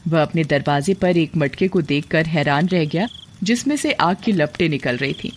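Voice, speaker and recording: A woman's voice: sits low, expressive, normal speed, slight background noise. A woman narrating a scripted moral tale as storyteller.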